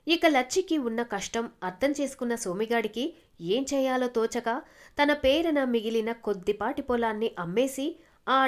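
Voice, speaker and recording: Woman storyteller with a slightly high voice. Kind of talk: scripted moral story narration.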